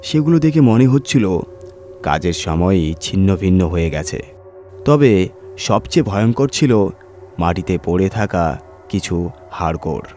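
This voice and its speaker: Low-pitched man narrating horror. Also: very animated, measured pace, pauses often, dark tone. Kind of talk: scripted story narration. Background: music.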